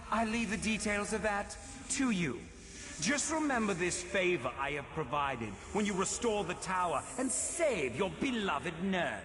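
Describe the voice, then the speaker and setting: An actor playing a scheming, vengeful demon prince in a fantasy video game, speaking in a theatrical, silky, menacing and deliberate manner. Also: male voice, sits high, a bit bright, heavily acted. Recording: long cavernous reverb, game ambience behind.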